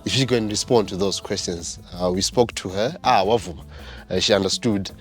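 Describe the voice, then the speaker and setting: Male host, very deep, halting delivery, soft music underneath. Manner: hesitant, many ums and uhs. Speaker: show host. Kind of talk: casual spoken introduction.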